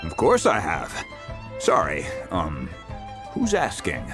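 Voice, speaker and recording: Animated blacksmith shopkeeper. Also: male voice, low-pitched, slow pace, music in the background, heavily acted. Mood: helpful, wary. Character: courteous male blacksmith shopkeeper. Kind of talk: video game voice acting.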